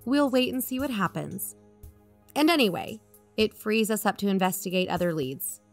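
Woman acting as assured lady podcast investigator, persuasive n probing, audio drama, medium pitch, faint music under. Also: lively intonation, normal speed, lightly acted. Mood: reassuring. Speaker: fictional podcast co-host. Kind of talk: conversational audio drama dialogue.

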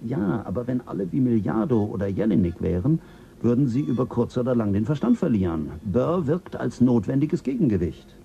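An actor playing a measured mission official explaining a space voyage in a German radio drama, with a husky voice. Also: male voice, medium pitch, very animated, dark tone, muffled recording, slight background noise. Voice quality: husky, gravelly.

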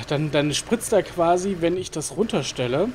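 A man talks his way through a game in a loose, rambling let's-play style. His fairly high voice is full of fillers and asides muttered to himself. A faint noise sits under the recording. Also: expressive, measured pace, a little mellow. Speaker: gaming YouTuber. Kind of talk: casual let's-play commentary.

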